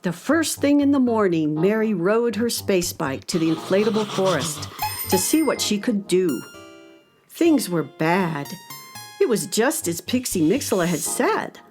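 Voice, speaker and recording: Woman, children's story narrator, lively intonation, expressive deliberate phrasing, faint music underneath. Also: medium pitch.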